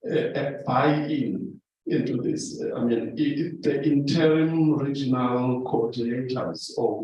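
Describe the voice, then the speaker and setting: A man making an unscripted contribution to a formal discussion, searching for words and repeating phrases in a halting way. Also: mid-range voice, dark tone. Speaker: academic meeting participant. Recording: distant mic in very echoey room.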